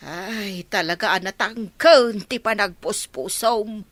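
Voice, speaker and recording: A woman's voice: mid-range voice, slow pace, a bit bright, no echo. A woman playing an exasperated older mother in a radio drama, scolding with reproach. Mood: reproachful. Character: exasperated older mother reproaching her hard-hearted daughter.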